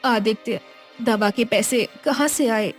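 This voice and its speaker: A woman's voice: a little high, slow, soft background music. A woman playing an anxious young village wife in a cartoon moral story, plaintive and sorrowful. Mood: sad, quiet. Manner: plaintive, worried.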